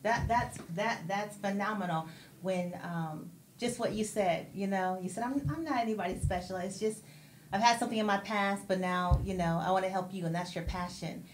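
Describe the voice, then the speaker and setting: A woman's voice: mid-range voice, moderate pace. Woman radio host, affirming and faith-minded, heard in an echoey room with faint hiss. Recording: roomy echo with faint background noise.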